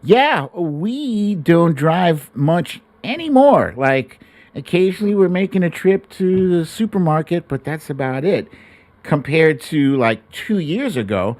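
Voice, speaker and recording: Animated, slow-paced middle-aged man livestreaming. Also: a little high, dry recording. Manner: animated, colloquial, salty street-talk phrasing. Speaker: livestream host. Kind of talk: casual livestream commentary, chatting with viewers. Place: home studio room, indoors. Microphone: close broadcast mic on boom arm.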